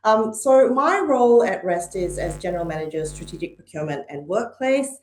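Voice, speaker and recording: A woman's voice: medium pitch, animated, fairly quick, slight background noise. A middle-aged woman from Malaysia and Hong Kong, a podcast guest speaking thoughtfully with frequent ums and uhs. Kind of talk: conversational interview. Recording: echoey room.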